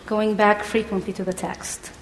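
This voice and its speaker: A woman's voice: medium pitch, flat delivery, moderate pace, slight background noise. A woman from Egypt with an Egyptian accent, preaching a sermon, reading scripture and asking the congregation questions. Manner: engaging, asks the congregation questions. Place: church hall. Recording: echoey hall with long reverb.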